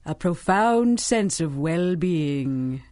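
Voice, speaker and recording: A velvet-voiced villainess who runs a chocolate shop, genteel and condescending. Her low voice swoops widely as she purrs at her victim in an old-time radio drama. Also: animated, very slow, darkish tone. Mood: silkily menacing. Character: sinister older woman chocolatier, silky, menacing. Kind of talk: 1930s-style radio drama acting.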